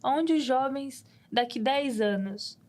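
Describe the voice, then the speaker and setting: Woman in her 20s, podcast host, fairly high voice, reflective casual talk. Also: slow pace, darkish tone. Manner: reflective, thinks aloud with restarts and questions. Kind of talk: casual chat. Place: podcast studio.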